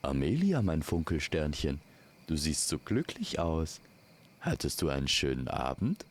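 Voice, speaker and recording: A man's voice: very deep voice, wildly swooping pitch, unhurried, slight background noise, exaggerated voice. A man playing a doting, cheerful and tender father in a fairy-tale audio story. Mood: delighted. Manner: affectionate pet names.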